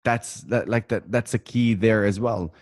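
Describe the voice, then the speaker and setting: A man's voice: on the low side, fairly even intonation, measured pace, darkish tone, no room sound, studio quality. A man whose first language is Italian hosts a casual podcast chat over a video call, enthusiastic and laughing often. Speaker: podcast host.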